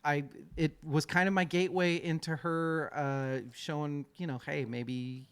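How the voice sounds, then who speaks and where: A man's voice: a little high, steady tone, a little slow, clear, resonant tone. A man in his thirties hosting a music podcast, joking and swearing freely in casual chat. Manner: profane, joking. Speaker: podcast host.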